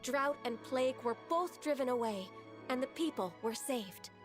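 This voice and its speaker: A woman playing a gentle, studious young half-immortal assistant in a video game, measured, polite and earnest as she explains. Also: sits high, animated. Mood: earnest, solemn. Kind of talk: scripted game dialogue. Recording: faint game music behind.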